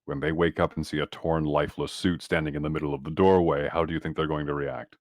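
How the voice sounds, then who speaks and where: A man's voice: very deep voice, steady tone, fast talker, no echo, studio quality. A man playing a tabletop roleplaying game, talking casually in slightly wordy, mock-formal phrasing.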